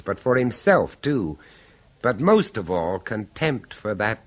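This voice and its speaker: An actor narrating in the first person as a brooding man who recalls a ruined violin concert, delivering his lines in a measured, grave and dramatic way for a 1970s radio drama. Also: medium pitch.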